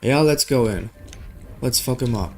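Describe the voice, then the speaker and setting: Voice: medium pitch, lively intonation, unhurried, darkish tone, faint music behind. A game streamer commenting casually and reading dialogue aloud, informal and slangy with some swearing. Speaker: streamer playing a story video game.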